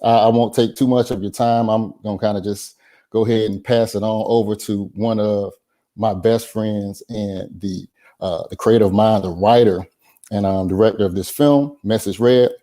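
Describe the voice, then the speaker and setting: Male event host, soft mellow low voice, informal, halting introduction delivery. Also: fairly even intonation. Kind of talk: casual welcome introduction.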